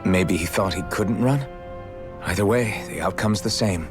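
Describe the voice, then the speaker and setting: A kind young male adventurer in a game, fairly low in pitch. He sounds steady, plain-spoken and reassuring over light music. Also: measured pace. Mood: reasonable. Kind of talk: video game voice acting. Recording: music under the voice.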